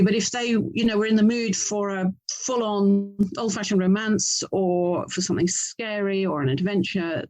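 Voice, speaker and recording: A woman's voice: on the low side, darkish tone, low-quality recording. A woman from England speaking as an interview guest, thinking aloud and often correcting herself as she explains. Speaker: interview guest, author and audio drama producer. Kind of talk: conversational interview.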